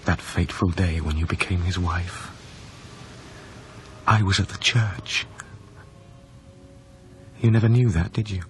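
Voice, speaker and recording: An actor playing a grieving, wistful lover, narrating a radio drama in a hushed, measured, brooding manner. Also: male voice, low voice, a little hiss or hum. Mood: bitter, wistful. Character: grieving, wistful former lover recounting a haunted past.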